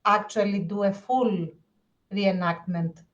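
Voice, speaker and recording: Casting: female podcast co-host, mid-range voice, unhurried casual talk, dull lo-fi audio. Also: medium pitch, steady tone.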